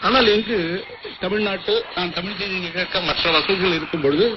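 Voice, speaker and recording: Older man, Indian Tamil accent, formal interview answers, slightly high-pitched, heavy radio noise. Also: brisk. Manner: formal, literary phrasing. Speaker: retired radio official, interviewee. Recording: noisy muffled shortwave radio broadcast.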